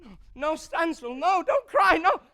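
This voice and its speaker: A woman's voice: very high voice, big pitch swings, a little slow, heavy background noise, exaggerated voice. A woman in a stage play voicing a bratty, childish young husband, crude and clownish, with exaggerated whimpering and teasing. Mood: clownish pleading. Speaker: stage actor in a school one-act play. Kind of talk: theatrical stage period drama acting.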